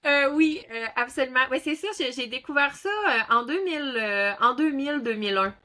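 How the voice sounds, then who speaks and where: A woman's voice: high voice, animated, brisk, pushed and bright. A woman in her thirties with a Québécois accent, speaking as an interview guest, with many fillers and restarts. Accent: Québécois French. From Quebec. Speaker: guest, ThetaHealing instructor. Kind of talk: casual interview talk.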